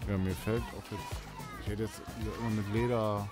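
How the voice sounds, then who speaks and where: A man of middle pitch asks short, curious questions in a relaxed chat with friends. Music plays clearly behind him. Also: moderate pace. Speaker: podcast co-host. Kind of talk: casual chat among friends.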